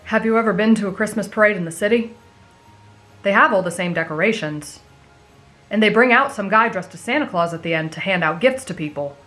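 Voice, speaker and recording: A woman's voice: medium pitch, brisk, fairly bright tone, faint music behind, natural voice, rough recording. A woman voicing an emotional, cynical teenage boy demon slayer, grumbling and easily exasperated, in an anime fan audio roleplay. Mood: dry, skeptical.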